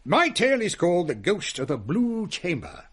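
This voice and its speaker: Pompous, high-voiced English gentleman host. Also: wildly swooping pitch, moderate pace, breathy edge, exaggerated voice. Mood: solemn, self-important. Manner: pompous, wordy, easily exasperated. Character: pompous, testy Victorian uncle hosting Christmas ghost stories. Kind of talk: period radio drama acting.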